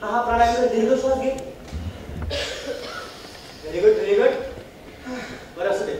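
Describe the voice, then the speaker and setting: A man's voice: extremely high pitch, unhurried, darkish tone. An actor playing a bossy, comic young man counselling his friend in a stage play, coaxing and insistent. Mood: soothing, bossy. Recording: echoey stage recording from far off, faint noise.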